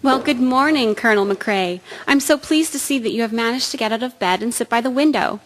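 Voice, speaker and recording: A woman playing a gentle, devoted nursing sister in a radio drama, formal, soothing and composed. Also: a little high, fairly quick, slight background noise, natural voice, poor audio. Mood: kindly, encouraging.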